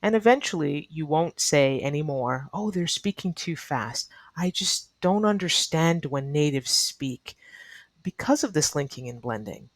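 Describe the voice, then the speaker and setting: A woman's voice: deep voice, animated, measured pace, no room sound. A woman teaching an online English lesson in a neutral American accent, explaining earnestly to learners and repeating key advice for emphasis. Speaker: ESL teacher.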